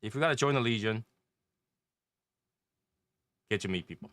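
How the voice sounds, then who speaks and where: Bright-voiced man with a Taiwanese accent. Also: fairly low pitch, moderate pace, no room sound. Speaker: host and leader of an online cigar community. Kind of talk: casual group chat.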